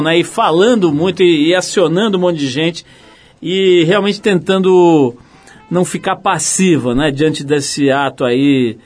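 A man hosting a radio interview show, talking in a relaxed, conversational way that often leans on "né?". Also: on the high side.

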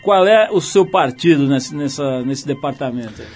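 A man's voice: mid-range voice, animated, normal speed, darkish tone, faint music behind. A man hosting a casual radio interview, joking and laughing as he asks questions. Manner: jokey, laughs while asking. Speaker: radio show host.

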